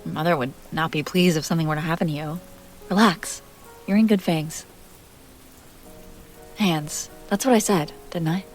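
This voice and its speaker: A woman's voice: low-pitched, fairly even intonation, moderate pace, long pauses, soft background music, natural voice. A woman playing a self-assured, playful vampire heiress in an intimate roleplay audio drama, talking directly to the listener. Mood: teasing, reassuring.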